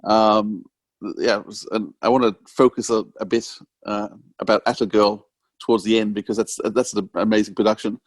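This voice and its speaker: A man's voice: lowish voice, little pitch movement, moderate pace, dry recording. A man with an Australian accent co-hosting a podcast in a casual, conversational interviewing style.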